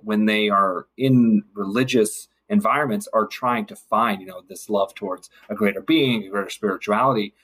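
A man with a husky, slightly rough voice at an ordinary male pitch. He speaks as a podcast guest, reflecting earnestly and thoughtfully in casual conversation. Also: fairly bright tone.

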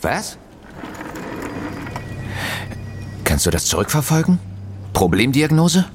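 An actor playing a grave, worried mission control chief in an audio drama. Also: male voice, mid-range voice, very animated, normal speed, a little hiss or hum, lightly acted. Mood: urgent.

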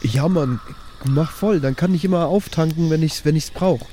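A man's voice: fairly high pitch, normal speed, dark tone, a little hiss or hum. A man chatting casually as a player in an improvised tabletop roleplaying game. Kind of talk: casual improvised in-character chat.

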